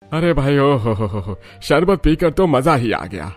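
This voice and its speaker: A man's voice: mid-range voice, very animated, unhurried, soft background music. A man voicing hearty, plain-spoken older village men in a cartoon story, with emphatic delivery. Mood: pleased, hearty. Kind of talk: Hindi cartoon story dialogue.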